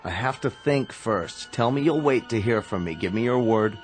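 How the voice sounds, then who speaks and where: An actor playing a grave, protective American doctor in a radio drama, measured, somber and terse. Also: male voice, medium pitch, expressive, soft background music, lightly acted. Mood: troubled, hesitant. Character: grave, protective doctor, reluctant brother of the patient.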